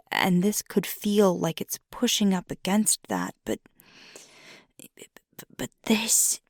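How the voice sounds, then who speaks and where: A woman voicing a fiery young werewolf girlfriend in intimate ASMR roleplay, husky and often whispery, confiding earnestly and haltingly. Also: lowish voice, no echo, studio quality, full character voice. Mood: torn, determined. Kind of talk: intimate ASMR roleplay, soft half-whispered close speech.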